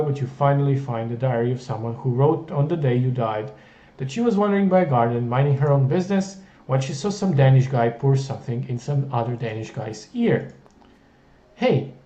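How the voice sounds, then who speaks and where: A man's voice: mid-range voice, dark tone, echoey room, muffled recording. A man reading a text adventure game aloud, adding wry, jokey asides in a playful way.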